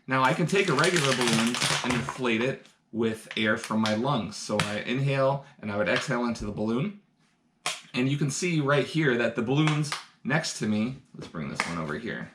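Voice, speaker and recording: A man's voice: mid-range voice, echoey room, background noise. A man teaching science through a live demonstration, upbeat and explaining each step for kids indoors. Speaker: science educator. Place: indoor room.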